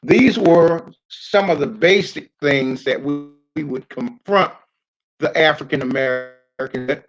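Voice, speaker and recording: Older man speaking slowly and haltingly. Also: fairly high pitch, faint music behind, low-quality recording. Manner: halting and deliberate, with frequent long hesitations. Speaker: community speaker recounting his experience as a pioneer. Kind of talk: public address, reminiscing unscripted.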